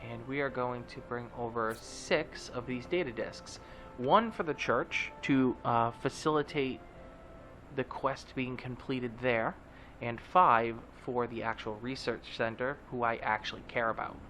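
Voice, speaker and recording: A man's voice: medium pitch, a little slow, a bit bright, faint music behind. A man with a stuffy, nasal voice giving casual, joking commentary as a let's play host. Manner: chatty, joking, with many fillers.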